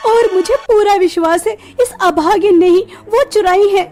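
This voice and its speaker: A woman's voice: very high-pitched, normal speed, a little mellow, soft background music. A woman playing a spiteful, scornful aunt who accuses and bullies in a melodramatic cartoon story. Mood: accusing. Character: spiteful scolding aunt, cruel household bully.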